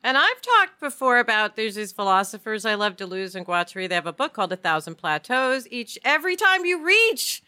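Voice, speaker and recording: A woman co-hosting a podcast in an informal, opinionated, animated way of chatting. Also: a little high, fairly quick, few pauses, clear, resonant tone. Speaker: podcast co-host. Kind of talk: casual podcast discussion.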